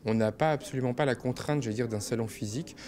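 A man's voice: mid-range voice, fast talker, mellow. Man, mid-range voice, upbeat interviewee listing benefits. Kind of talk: interview for a news report.

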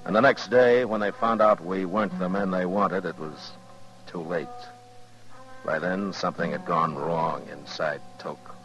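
A steady frontier town marshal in a 1950s radio western. The voice is deep and plain-spoken, with a weary weight to it. Music may sit behind the old broadcast. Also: male voice, a little slow, low-quality recording. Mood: grim reflective. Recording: 1950s radio drama recording.

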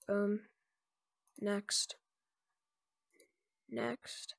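A speaker giving informal, improvised step-by-step instructions in a casual screen-recorded software tutorial. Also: medium pitch, flat delivery, very slow, creaky, no echo. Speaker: tutorial presenter.

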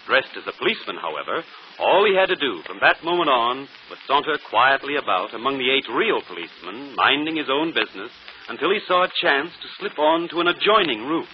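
A man's voice: mid-range voice, very animated, brisk, lightly acted. A man playing a brilliant, self-assured detective in 1940s radio drama style, articulate and theatrical. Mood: confident, explaining. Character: brilliant, self-assured male detective explaining his solution. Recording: muffled, noisy old radio broadcast.

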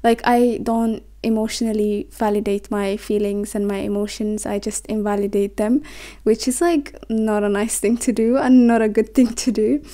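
A woman's voice: medium pitch, steady tone, normal speed, warm tone. A woman in her twenties whose first language is Somali, talking to the camera in a small bedroom, rambling and stumbling over her words as she reflects aloud. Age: 20s. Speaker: vlogger. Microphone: camera on a desk, facing her.

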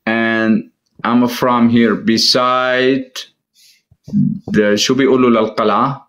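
A middle-aged man from Syria, chatting in a live-stream debate in slow, halting English and often searching for words, recorded on a phone held close in a room at home. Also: mid-range voice, little pitch movement, a bit bright.

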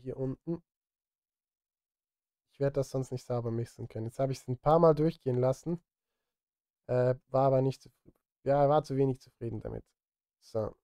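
A man's voice: medium pitch, moderate pace, long pauses, warm tone, clear, resonant tone, no room sound. A man in his thirties chatting casually on a live stream as he works, partly to himself, close on a studio microphone. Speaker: streamer, film music composer. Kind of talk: casual live-stream chat while composing. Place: indoor home studio. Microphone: close studio microphone, headphones on.

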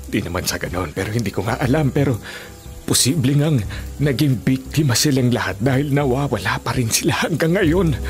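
Man acting earnest old villager, animated storyteller. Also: medium pitch, a little fast, over music, natural voice. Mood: earnest, insistent. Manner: hesitant, emphatic storytelling. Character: older village man, earnest believer in aswang tales. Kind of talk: Filipino radio drama acting. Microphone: studio condenser mic with pop filter.

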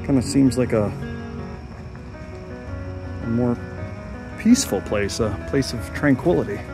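A man's voice: medium pitch, unhurried. Male vlog host, mid-range voice, reflective and unscripted, talking while walking outdoors, faint music underneath. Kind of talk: casual walking tour narration. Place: outdoors, walking a wooded path at dusk. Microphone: handheld camera held by the speaker.

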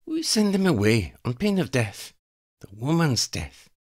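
A man's voice: medium pitch, sing-song, a little slow, darkish tone, pitched above the performer's own voice. A man voicing a cruel, scheming female vampire in an audiobook reading, icy and imperious. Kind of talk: fan fiction audiobook reading.

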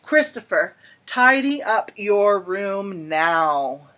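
A woman's voice: mid-range voice, lively intonation, drawn-out words, sharp tone, heavily acted. A woman voicing a stern horde of storybook cockroaches, giving orders in an emphatic, commanding manner. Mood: menacing command.

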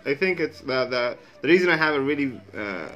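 A man's voice: mid-range voice, expressive, brisk, a bit bright, lo-fi sound, soft background music. A man in his thirties, a native Arabic speaker with an Arabic accent, chatting loosely in a reaction video, rambling and swearing casually, recorded in a small room on earphones. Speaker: reaction vlogger. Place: small indoor room with a sheet hung behind. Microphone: wired earphone mic.

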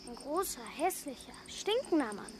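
A woman's voice: sits high, sing-song, moderate pace, background noise. A female performer playing a whiny, insistent young girl in a radio play. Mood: upset, insistent. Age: child.

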